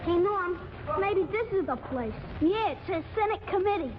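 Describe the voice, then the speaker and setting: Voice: very high-pitched, expressive, normal speed, over loud music. An actor playing an earnest, naive young schoolchild in a 1940s radio comedy, with innocent, literal-minded delivery. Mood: curious, innocent. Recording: muffled 1940s radio broadcast.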